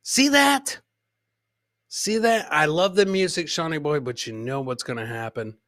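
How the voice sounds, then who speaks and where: High-voiced, bright male comedy podcast host. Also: expressive, measured pace, no room sound. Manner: repeats phrases, addresses producer and viewers. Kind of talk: casual live comedy commentary on video clips.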